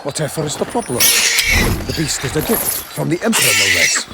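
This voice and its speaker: A man's voice: fairly high pitch, sing-song, normal speed, very noisy. A man playing a chivalrous, genial knight in a video game, speaking in a florid, formal, declamatory way. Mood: confident.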